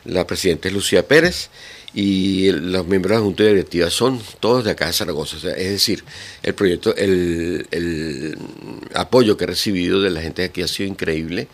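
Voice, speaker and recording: A middle-aged man with a Venezuelan accent, a guitar teacher speaking as a guest in an interview, pausing often with fillers and restarts. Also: low voice. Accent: Venezuelan Spanish. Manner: hesitant, many fillers and restarts. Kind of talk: radio interview.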